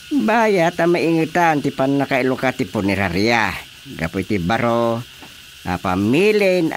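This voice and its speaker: A man acting an authoritative, orderly village chairman in an Ilocano radio drama, emphatic and official, with an Ilocano accent. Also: mid-range voice. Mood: approving, instructive. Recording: steady background noise.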